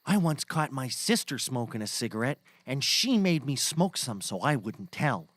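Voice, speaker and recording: A man's voice: mid-range voice, very animated, normal speed, fairly bright tone, no room sound. A man playing a naive, eager, earnest young male sidekick in a scripted audio comedy.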